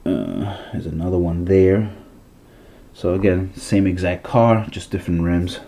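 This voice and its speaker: A man's voice: low voice, a little slow, warm tone, slight background noise. A man with a stuffy nose showing his toy car collection at home in a rambling, chatty way, full of fillers and restarts. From Massachusetts, USA. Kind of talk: casual vlog.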